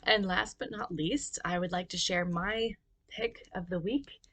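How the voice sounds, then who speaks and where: A homeschooling mother talking casually on her vlog in a measured, reflective and articulate way. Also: lowish voice, sharp tone. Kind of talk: casual educational vlog talk.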